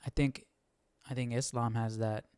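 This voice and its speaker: A man's voice: medium pitch, little pitch movement, moderate pace, darkish tone, clean tone, dry recording, clean studio sound. A man hosting a livestream study, thinking aloud in a casual, questioning way. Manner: questioning, musing. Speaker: host of Bible study livestream.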